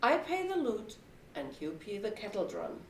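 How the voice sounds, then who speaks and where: A woman's voice: medium pitch, huge pitch swings, moderate pace, roomy sound, recorded from a distance, slight background noise. A woman voicing a confident, encouraging male donkey who leads his friends in a storybook tale. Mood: reassuring.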